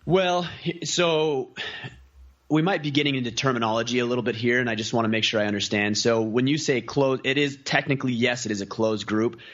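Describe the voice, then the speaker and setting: A man's voice: mid-range voice, fairly quick, fairly bright tone, lo-fi sound. A man talking as a podcast guest in a casual interview, earnest and piling up lists of examples. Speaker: podcast guest, community founder.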